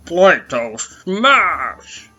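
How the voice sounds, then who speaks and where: Man putting on a slow, brutish simple-minded philosopher. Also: a little high, sing-song, sharp tone, husky, lo-fi sound, soft background music, voice pushed up, exaggerated voice. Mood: roaring. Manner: broken grammar, blunt, third-person speech. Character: brutish simple-minded male Plato, caveman-like strongman philosopher.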